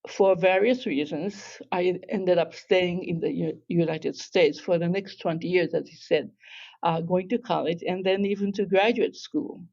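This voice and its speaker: A woman's voice: on the low side, fairly even intonation, gravelly, a little mellow, lo-fi sound. Reflective woman with a Japanese accent. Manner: measured, reflective, with hesitant restarts. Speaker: guest novelist. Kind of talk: literary festival conversation.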